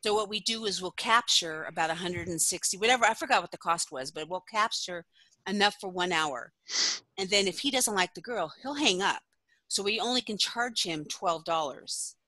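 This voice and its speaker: A middle-aged woman, a former phone sex operator, telling stories in a casual podcast interview, chatty and quick to laugh. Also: mid-range voice, pushed and bright, no echo, low-quality recording. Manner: chatty storyteller who laughs often.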